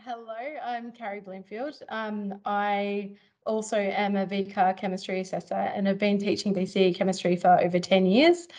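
A woman's voice: mid-range voice, steady tone, normal speed, a little mellow. Brief: woman chemistry teacher, easygoing webinar presenter, video call sound.

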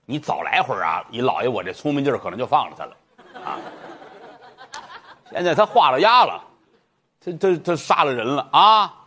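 A man's voice: medium pitch, sing-song, normal speed, long pauses, bright, forceful tone, slight background noise. A man doing a bumbling old-time magistrate. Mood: flustered. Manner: comic, flustered and impatient.

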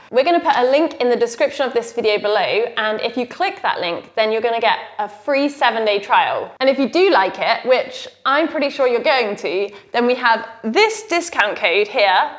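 Upbeat British woman at home. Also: on the high side. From United Kingdom. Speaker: vlog co-host. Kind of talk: sponsor segment to camera. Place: home office indoors.

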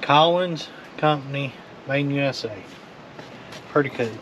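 A man's voice: measured pace, a bit bright. A man in his thirties chatting casually in short, offhand remarks while sorting through boxes. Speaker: storage unit reseller. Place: open storage unit doorway, outdoors. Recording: noisy outdoor camera audio, vehicle rumble.

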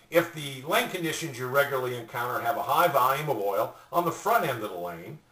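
An older man giving a scripted product explainer in a deliberate, formally worded way. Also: medium pitch, a bit bright. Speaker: presenter. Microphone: webcam a little way off. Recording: roomy echo, distant mic.

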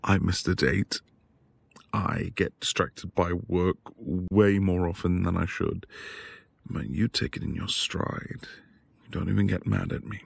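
A man acting as a devoted, flirtatious husband in an intimate ASMR roleplay, breathy and affectionate, often dropping toward a whisper. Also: sits low, full character voice. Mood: tender, sincere.

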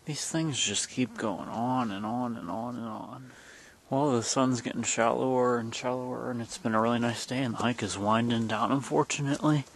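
A man's voice: medium pitch, fairly even intonation, normal speed, slight background noise. A man giving casual vlog commentary while filming on a handheld camera outdoors on a wooded trail. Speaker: vlogger. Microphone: handheld camera, held by the speaker.

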